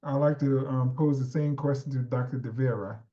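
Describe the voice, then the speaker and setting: A man moderating a panel in a relaxed way, with lots of "um" and friendly "y'all". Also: medium pitch, normal speed, highs cut off. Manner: relaxed, filler-heavy, uses y'all. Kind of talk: casual moderating.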